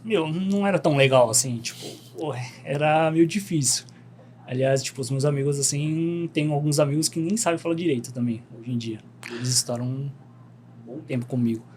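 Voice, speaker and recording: Relaxed young São man, slangy. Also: a little high, moderate pace, slight background noise. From Brazil. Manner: laid-back storytelling, slangy, animated. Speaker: podcast guest. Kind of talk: casual podcast chat.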